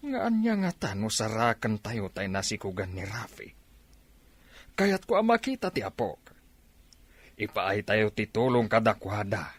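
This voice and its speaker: Animated Ilocano father, pleading. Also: fairly low pitch, husky, no room sound, full character voice. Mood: pleading, insistent. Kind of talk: Ilocano radio drama acting.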